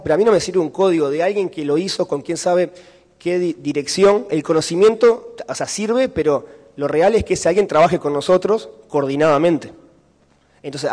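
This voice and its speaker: A man with a Uruguayan Spanish accent talks through ideas at a conference, pausing on fillers and checking in with the room. His voice sits a little high. A long hall reverb trails his words. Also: a little mellow. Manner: explanatory, frequent fillers and rhetorical checks. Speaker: conference speaker. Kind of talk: conference talk and Q&A answer.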